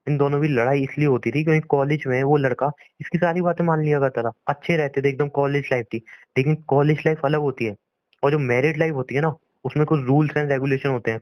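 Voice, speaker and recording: A man's voice: mid-range voice, a little fast, dry recording. A young man arguing in a private phone conversation, insistent and pleading, often repeating himself. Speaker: boyfriend on a phone call.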